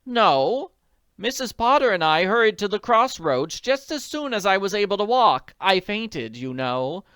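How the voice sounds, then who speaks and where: A woman voicing an agitated, elegant middle-aged woman in a storybook, pleading in a breathless, drawn-out way. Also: medium pitch, big pitch swings, a bit bright, smooth, no room sound, voice pushed up. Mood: distressed. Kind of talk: audiobook character voice.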